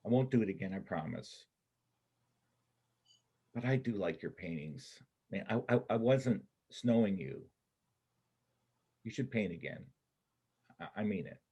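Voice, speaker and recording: A man's voice: low voice, expressive, measured pace, pauses often, warm tone, husky, exaggerated voice. A man acting a guarded, wry soldier who survived a war, in a church reader's theater play. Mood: apologetic, gentle.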